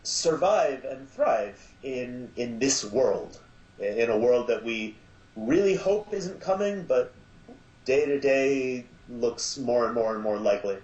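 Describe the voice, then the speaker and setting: A man guest on a podcast interview, talking casually about his show and pausing often with fillers. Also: mid-range voice, expressive, darkish tone. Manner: hesitant, many filler sounds. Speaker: podcast guest from an audio drama. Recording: echoey, dull lo-fi line.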